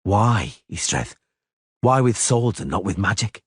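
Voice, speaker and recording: A man voicing a grim monster hunter who speaks curtly and slowly. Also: sing-song, husky, dry recording, studio quality, full character voice. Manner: low, curt, measured. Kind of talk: audiobook character voice.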